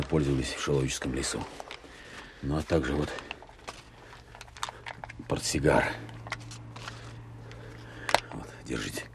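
An actor playing a methodical army officer in a radio drama, reporting in a halting, deliberate, low-key way. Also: male voice, noisy background. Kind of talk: Russian radio drama acting.

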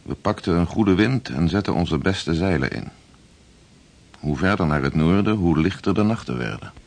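A man in a radio play acting a gentle, plain-spoken seaman who chronicles a voyage. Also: sits low, expressive, normal speed, creaky, exaggerated voice. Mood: measured, narrating.

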